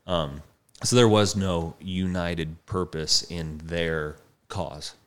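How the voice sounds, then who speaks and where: A man's voice: low voice, fairly even intonation, clean tone. A young man, a horse trainer and veteran, telling his story as an interview guest with plenty of fillers and restarts. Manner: halting, with many fillers and restarts. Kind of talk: casual conversational storytelling.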